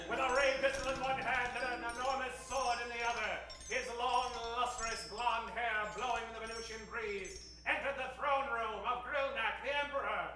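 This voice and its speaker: Man as a high, grandiose radio serial announcer, echoey. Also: recorded from a distance. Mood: dramatic. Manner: melodramatic, grandiose. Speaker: narrator. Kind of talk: improvised old-time radio narration. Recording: echoey room from afar, music behind.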